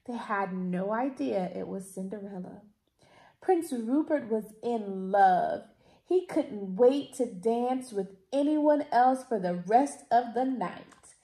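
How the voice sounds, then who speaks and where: A woman in her thirties reads a children's picture book aloud expressively, with deliberate, theatrical emphasis. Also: on the high side, lively intonation, warm tone. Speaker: library storytime reader. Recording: roomy indoor echo.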